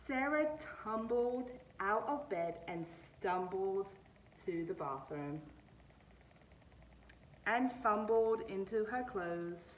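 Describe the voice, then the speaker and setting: A woman's voice: medium pitch, lively intonation, slow pace, distant mic, slight background noise, low-quality recording. A woman teacher talking to young children and narrating a storybook read-aloud in an explanatory way.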